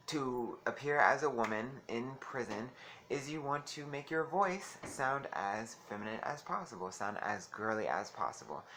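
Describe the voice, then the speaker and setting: Male vlogger, soft bright voice, chatty and giggly, faint hiss behind. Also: medium pitch. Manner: chatty, giggly, calls listeners honey. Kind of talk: casual talk to camera.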